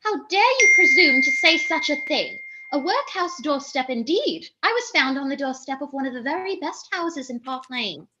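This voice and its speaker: A woman's voice: high voice, lively intonation, a little fast, few pauses, highs cut off, noisy background. An actress playing a flighty, self-important young lady in a theatrical comedy, arch and declamatory. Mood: haughty. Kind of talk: theatrical radio comedy monologue and dialogue.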